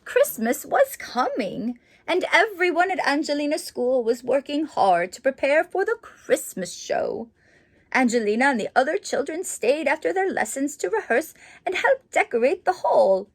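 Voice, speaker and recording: Lady reading kids a story, high voice, super animated and gushy, lots of dramatic pauses. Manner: theatrical, gushing, exclaims and pauses dramatically. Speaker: children's storybook reader in character as Mrs. Claus. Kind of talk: animated storytelling for young children.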